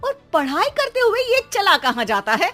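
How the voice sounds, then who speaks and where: A woman playing an exasperated, sharp-tongued Indian mother who scolds and nags with theatrical sarcasm in a comic audio drama. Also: very high voice, big pitch swings, moderate pace, soft background music, voice pushed up, full character voice. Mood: sarcastic.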